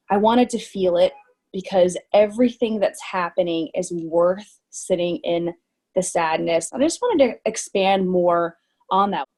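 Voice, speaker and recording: A woman hosting a podcast, asking interview questions in a measured, appreciative way. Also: fairly low pitch, darkish tone. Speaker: podcast host.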